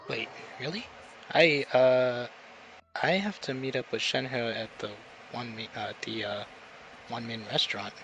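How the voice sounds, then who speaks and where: A man's voice: medium pitch, a little slow, fairly bright tone, slight background noise, poor audio. A man chatting casually as a co-player on a video game stream. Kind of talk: casual gaming chat.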